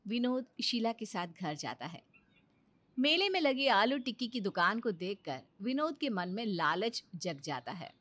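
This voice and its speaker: A woman narrator reading a scripted children's story in an expressive storytelling manner. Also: mid-range voice, a little slow.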